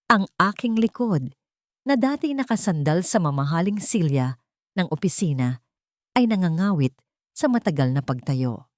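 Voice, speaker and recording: Woman, Filipino accent, lowish voice, dry close sound, solemn dramatic audiobook narration. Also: lively intonation, normal speed, a little mellow, no echo. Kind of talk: dramatic first-person scripted story narration.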